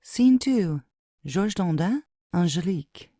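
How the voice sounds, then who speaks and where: A woman reads stage directions in a scripted, measured way. Her delivery is slow and her tone mellow, in a very dry, close recording. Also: fairly low pitch, expressive, dry recording. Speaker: narrator reading stage directions. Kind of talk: scripted narration.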